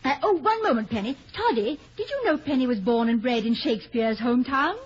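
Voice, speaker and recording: An actress playing a playful, affectionate, witty wife of a college president in a 1950s radio comedy, with a teasing manner. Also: female voice, high-pitched, animated, a little fast. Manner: teasing, lighthearted. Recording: dull, hissy vintage radio recording, faint audience.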